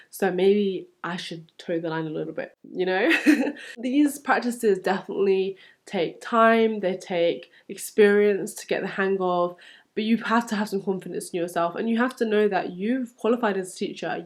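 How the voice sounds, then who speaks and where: A young woman with a London English accent, giving encouraging advice in a casual, conversational vlog style as a supply teacher. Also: mid-range voice. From London, England.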